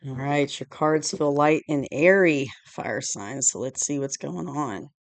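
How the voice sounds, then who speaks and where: Low, hoarse woman giving a tarot reading. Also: measured pace, no echo. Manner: rambling, loose, full of "you know" and "like", with light laughs. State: hoarse after a cold. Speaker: tarot reader. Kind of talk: casual spoken tarot reading. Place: indoors at home, dim room lit by a candle and lamp.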